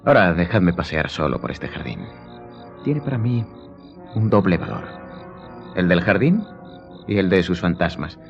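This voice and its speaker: Radio play lead: commanding, enigmatic count, low solemn voice, measured delivery, slightly muffled sound. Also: male voice, sing-song, vocal fry, exaggerated voice. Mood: solemn, composed. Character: grave, commanding count, calm and enigmatic nobleman. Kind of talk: radio drama acting. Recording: dull lo-fi sound, faint music behind.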